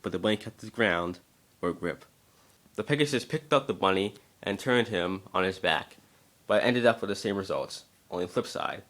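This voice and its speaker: A man with a somewhat low voice reads a story as narrator. It is an amateur dramatic reading, with occasional stumbles and repeated words. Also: moderate pace, fairly bright tone.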